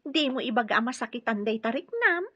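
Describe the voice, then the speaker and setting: A woman's voice: fairly high pitch, big pitch swings, fairly quick, pushed and bright, slightly rough, no room sound, exaggerated voice. A woman acting a nosy, teasing young best friend in an Ilocano radio drama, full of exclamations. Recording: dull lo-fi sound with music.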